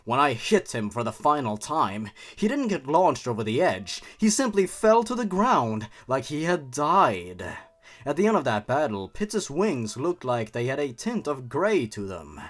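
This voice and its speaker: A man's voice: mid-range voice, sing-song, measured pace. A man reading a horror story aloud theatrically, breaking off for sarcastic, mocking asides.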